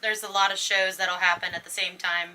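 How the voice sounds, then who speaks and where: Woman guest on a reptile stream, super bright voice, chimes in agreeing. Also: fairly low pitch, flat delivery, brisk, poor audio. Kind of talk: casual livestream chat.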